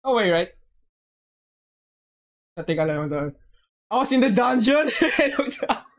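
A woman livestreamer chatting casually over a game in an excitable, joking way, often repeating phrases. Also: fairly high pitch, a little slow. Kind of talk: casual game stream chat. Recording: game music behind.

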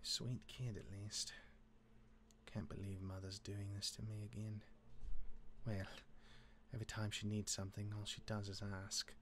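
A man playing a gruff, jaded demigod older brother in a Minecraft roleplay, reluctantly caring, with a halting, exasperated delivery. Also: on the low side, flat delivery, fairly bright tone, slight background noise, close to an everyday voice. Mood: weary muttering.

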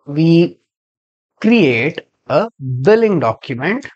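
A man giving a screen-share software tutorial as a trainer, explaining step by step and spelling out codes. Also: on the high side, big pitch swings, slow, dull audio.

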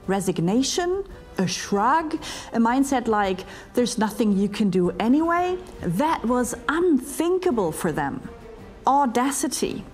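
A middle-aged woman delivers a scripted pitch for her keynote with a fairly high, lively voice that rises and falls a lot. She speaks slowly and deliberately, leaning on dramatic pauses. Faint music plays under her studio lapel recording. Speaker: keynote speaker and leadership coach. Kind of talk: scripted promotional monologue to camera. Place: studio before a green screen, edited onto a virtual ship deck. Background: faint music bed.

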